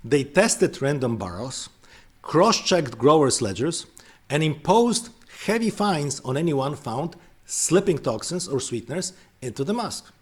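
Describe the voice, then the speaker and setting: A man's voice: fairly high pitch, sing-song, a little slow, slightly rough. A man hosting and narrating a scripted explainer, breaking off into hesitant, filler-heavy asides. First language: not French. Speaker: host.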